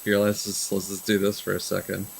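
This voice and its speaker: A man's voice: low-pitched, completely flat delivery, a little fast, gravelly, breathy edge, rough recording. A man doing casual, wry game commentary as he streams, muttering asides to himself.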